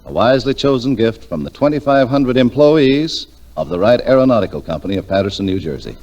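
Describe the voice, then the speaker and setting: A man's voice: mid-range voice, big pitch swings, a little fast, a little mellow, highs cut off. An American man announcing a scripted 1940s radio advertisement with a polished, emphatic sales delivery. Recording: vintage 1940s radio broadcast.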